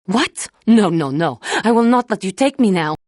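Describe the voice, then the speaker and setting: A woman playing a proud, tormented fallen warrior seeking redemption in a video game, speaking in formal, solemn and deliberate phrases. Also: mid-range voice, expressive, a little mellow, no room sound, studio quality, close to an everyday voice. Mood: angry, defiant. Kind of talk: dramatic video game character dialogue.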